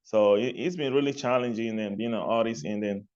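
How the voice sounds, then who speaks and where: A man's voice: fairly low pitch, a little fast. Cameroon-born man, muffled lo-fi sound. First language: not English. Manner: relaxed, repeats phrases, laughs lightly between points. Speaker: guest, music artist and label executive. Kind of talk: interview answers.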